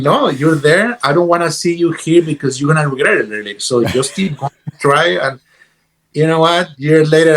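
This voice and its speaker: A man from Guatemala with a Guatemalan Spanish accent tells stories casually as an interview guest, in a halting way, repeating words as he goes. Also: a little high, a bit bright, poor audio. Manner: halting, repeats words, peppered with "you know". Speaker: interview guest, guitarist and music teacher. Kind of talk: casual conversational storytelling.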